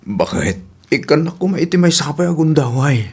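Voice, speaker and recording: A man's voice: fairly high pitch, very animated, moderate pace, darkish tone, slightly rough, full character voice. A man playing a hapless, pleading estranged husband in a radio comedy drama, insistent and whiny, with an Ilocano accent.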